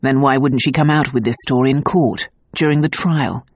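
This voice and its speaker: A man reading an audiobook, voicing a gruff, protective nobleman husband in a low, tender voice. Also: normal speed, slight background noise, natural voice. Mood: cold. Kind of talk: audiobook dialogue.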